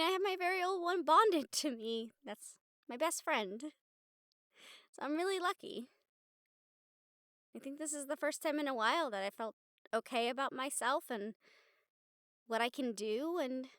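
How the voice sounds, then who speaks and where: A woman plays an excitable young college girl with a very high, bright voice. She talks straight to the listener in a chatty, giggly, earnest way, as in an intimate audio roleplay. The recording is close and dry. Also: no room sound. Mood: earnest, grateful. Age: young adult. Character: excitable, sweet young college girl, a medium befriending a ghost.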